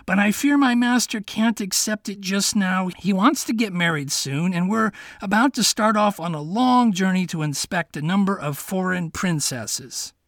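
A man's voice: sits high, moderate pace, hardly any pauses, a bit bright, no echo, studio quality, pitched above the performer's own voice. A man voicing a clever, scheming male fox who talks in a coaxing, confiding way in a storybook tale. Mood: sly courtly.

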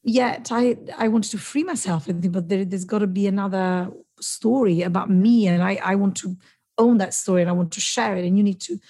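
Warm, breathy woman, native Italian speaker. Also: medium pitch, brisk. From southern Italy. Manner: effusive, talks in long winding thoughts. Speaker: guest, founder of a storytelling network. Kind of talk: casual conversational interview.

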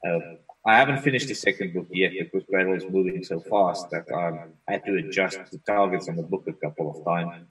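A man whose first language is Afrikaans, a software consultant talking as a guest on a remote interview in a relaxed, rambling way. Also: low-pitched, fairly quick, far from the mic, low-quality recording. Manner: relaxed, rambling with frequent restarts. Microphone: video call.

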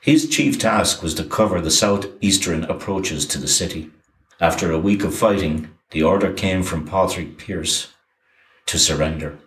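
Deep-voiced man narrating history in an echoey room. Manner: even, measured reading of dates and names. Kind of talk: scripted documentary narration.

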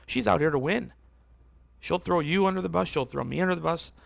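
A man hosting a casual solo podcast, rambling and correcting himself as he talks, with a voice that is going hoarse. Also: a little high, fairly quick, no room sound. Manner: rambling, self-correcting, conversational. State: losing his voice, hoarse. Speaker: podcast host.

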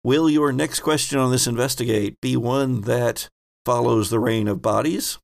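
A man's voice: medium pitch, steady tone, normal speed, gravelly. A man speaking casually as game master, guiding players through their choices in a tabletop game.

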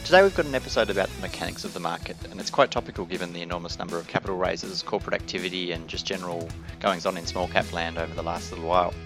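A man co-hosting a finance podcast, reading a disclaimer and questioning a guest in a brisk way. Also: low voice, music in the background. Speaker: podcast co-host. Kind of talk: podcast interview.

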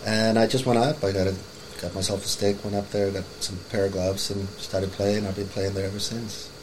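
A middle-aged man from Finland, a podcast guest, chatting in a relaxed, rambling way full of "you know"s. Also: on the low side, a little fast, noisy background. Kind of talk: casual chat.

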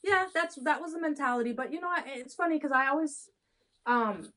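A woman's voice: high-pitched, a little fast, fairly bright tone. A woman in her thirties, born in Haiti, talking candidly as an interview guest, with frequent restarts. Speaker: guest, adoption consultant. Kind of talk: casual interview conversation.

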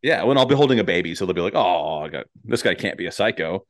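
A man with a fairly low voice hosts a casual comedic conversation. He rambles from thought to thought in a jokey way and swears freely. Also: lively intonation, fast talker. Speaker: podcast host.

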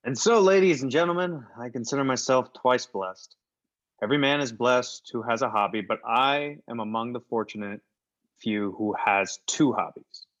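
A mild, bashful celebrity at a lectern, acted by a man for a radio play. He gives a genial, polite speech in a medium-pitched voice. The audio is a little muffled. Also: moderate pace, close to an everyday voice. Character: mild-mannered, shy celebrity giving a genial hobby lecture. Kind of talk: radio play public address.

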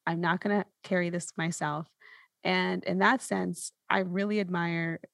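Creaky-voiced woman hosting, close and dry. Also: fairly low pitch, steady tone, unhurried, fairly bright tone, no room sound. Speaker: podcast host, interviewer. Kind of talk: casual conversational interviewing.

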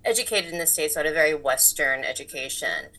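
A woman's voice: sits low, expressive, fast talker, sharp tone, poor audio. A woman from Taiwan whose first language is Mandarin, talking casually and reflectively as an artist being interviewed. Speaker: artist, podcast guest.

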